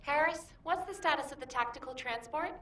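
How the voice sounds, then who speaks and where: An actress playing an earnest, alert young mission coordinator in a sci-fi game. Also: female voice, sits high, brisk, a bit bright, soft background music. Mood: alarmed. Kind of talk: scripted game voice acting. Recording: echoey, distant, faintly noisy.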